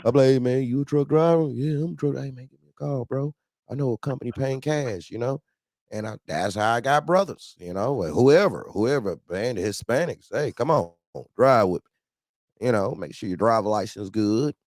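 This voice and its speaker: A man's voice: mid-range voice, moderate pace, no echo, studio quality. A man hosting a casual call-in talk show, telling slangy anecdotes about trucking and acting out bits of dialogue. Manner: slangy, animated anecdote telling with acted-out quotes. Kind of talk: casual trucking chat and storytelling.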